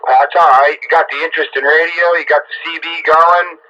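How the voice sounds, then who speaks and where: A man's voice: on the high side, level tone, quick pace, bright, forceful tone. A man talking over a CB radio as a hobby operator, in casual, folksy chat, often repeating his own phrases. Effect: CB radio transmission. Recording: muffled, noisy CB radio transmission.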